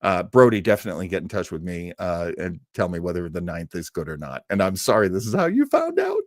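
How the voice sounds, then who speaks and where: Animated, chatty man hosting a live stream. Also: deep voice, no echo, studio quality. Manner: chatty, halting, self-deprecating, laughs easily. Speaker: host of a live old-time radio show stream. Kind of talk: casual live chat with viewers, rambling sign-off.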